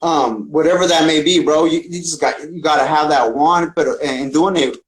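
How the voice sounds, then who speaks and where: A man's voice: a little high, normal speed, rough recording. A man speaking as a podcast guest and musician in a casual, slangy, profane conversational style. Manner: slangy, profane, often says "man" and "bro".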